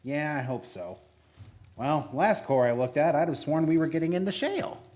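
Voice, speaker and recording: A man plays a nervous, sheepish American oilfield geologist in a radio play. His voice sits a little high and leaps around in pitch, with a hesitant, stammering delivery. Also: lively intonation, moderate pace, darkish tone, close to an everyday voice. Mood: musing, casual. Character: skinny, jittery, sheepish male oil field geologist.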